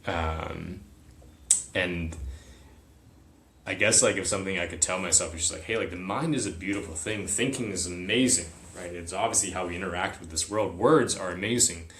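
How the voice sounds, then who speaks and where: A man's voice: deep voice, moderate pace, fairly bright tone, a little hiss or hum. A man whose first language is Chinese, talking reflectively as a podcast guest. He often checks in with "right?". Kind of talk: reflective interview talk. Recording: roomy echo.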